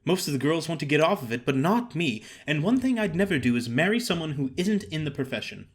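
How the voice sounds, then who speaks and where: A man's voice: on the high side, sing-song, fast, husky. A man voicing a small, frank young chorus girl in an audiobook, chatty, earnest and plain-spoken. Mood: earnest, firm. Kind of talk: audiobook character acting.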